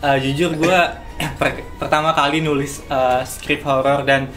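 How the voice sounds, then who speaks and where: A man's voice: fairly high pitch, little pitch movement, a little slow, fairly bright tone. A man chatting casually in colloquial slang as a producer in an interview. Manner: informal, colloquial Jakarta slang. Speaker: audio drama producer and writer. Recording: music playing behind the voice.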